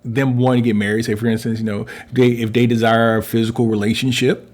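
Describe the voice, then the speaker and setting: A man in his forties co-hosting a relationship talk and working through his ideas out loud. He is polite and easygoing and often stops for "um" and "you know". His voice sits at a middle pitch for a man. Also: little pitch movement, fast talker. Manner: thinks aloud with many fillers, polite. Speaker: relationship coach, co-host. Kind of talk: casual conversational chat.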